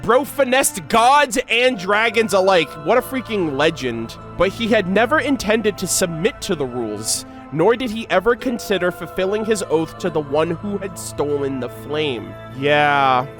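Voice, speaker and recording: A man giving excitable, slangy reaction commentary as a gaming creator, mixing in read-aloud game lore. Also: high-pitched, unhurried, hardly any pauses, faint music behind. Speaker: gaming content creator, host.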